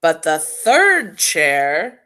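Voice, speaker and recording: A woman reads a children's storybook aloud with lively, varied intonation. Her manner is playful and tongue-in-cheek, using emphatic pauses to land each line. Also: deep voice. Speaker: school storybook reader.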